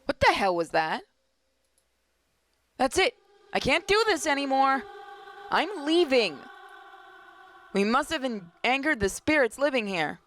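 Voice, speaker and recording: A woman hosting a gaming video, reading a visual novel aloud dramatically with playful, expressive asides. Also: fairly high pitch, a little hiss or hum.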